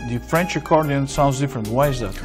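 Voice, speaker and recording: A man's voice: medium pitch, fast, music in the background. A man from Bulgaria hosting an interview, asking probing, playful questions with frequent fillers. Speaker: interviewer, host.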